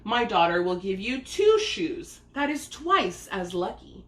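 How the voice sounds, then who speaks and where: A woman's voice: mid-range voice, very animated, unhurried, reverberant, distant mic. A woman voicing a cruel, scornful stepmother in a children's storybook, snapping and hissing her accusations. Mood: scheming, insistent. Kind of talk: children's storybook read-aloud.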